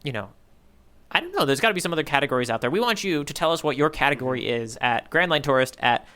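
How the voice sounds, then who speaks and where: Bright-voiced man, playful podcast co-host. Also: on the high side, lively intonation, quick pace. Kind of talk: casual manga discussion banter.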